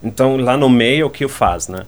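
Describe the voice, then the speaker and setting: A man's voice: mid-range voice, moderate pace. A man whose first language is German, speaking Portuguese with a German accent and slightly off grammar, often tagging his sentences with questions. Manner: slightly ungrammatical, frequent tag questions. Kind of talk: conversational interview answers.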